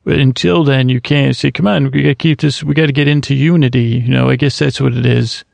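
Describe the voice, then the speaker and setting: A man's voice: mid-range voice, fairly even intonation, fairly quick, darkish tone, no echo. A man hosting a bedtime podcast, rambling in a meandering way with lots of restarts and trailing thoughts. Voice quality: gravelly. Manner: meandering, self-interrupting, many restarts. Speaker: podcast host. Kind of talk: rambling sleep podcast monologue.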